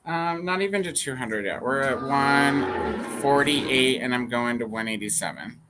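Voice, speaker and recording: A co-host chatting casually about books, who often restarts sentences and laughs softly. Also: medium pitch, expressive, unhurried, noisy background. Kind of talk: casual chat.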